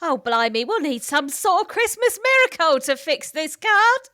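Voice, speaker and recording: Woman acting a chummy, very high-pitched hospital staffer. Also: sing-song, normal speed, pushed and bright, no room sound, clean studio sound, full character voice. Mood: chummy, excitable. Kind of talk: improvised comedy roleplay.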